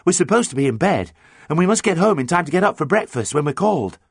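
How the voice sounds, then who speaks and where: A bold girl pirate captain played by a man talks with urgent, impatient gusto in a storybook. The voice is pushed high and swings about in pitch. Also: very animated, fast, husky, no room sound, studio quality, exaggerated voice. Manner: exclamatory, urgent, jolly slang. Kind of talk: audiobook character dialogue.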